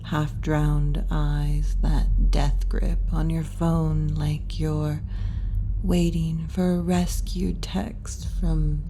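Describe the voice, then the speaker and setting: A woman's voice: low voice, little pitch movement, drawn-out words, noisy background, exaggerated voice. A woman voicing a teasing, kindly tomboy wolf girl bartender in a laid-back, wry, intimate ASMR roleplay, often dropping toward a whisper. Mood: wry, sympathetic. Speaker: roleplay performer. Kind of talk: ASMR roleplay, addressing the listener.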